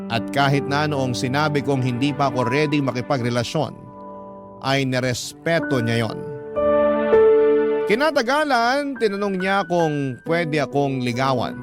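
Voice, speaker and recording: A Filipino man reading a first-person love story as a radio host, in scripted narration. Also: medium pitch. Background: loud music under the voice.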